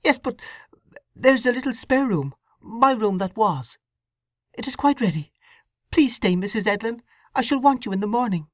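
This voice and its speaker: A woman doing a high anguished young woman. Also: animated, moderate pace, no room sound, studio quality, close to an everyday voice. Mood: fearful. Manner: anguished, pleading, breathless. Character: young distraught woman torn by conscience.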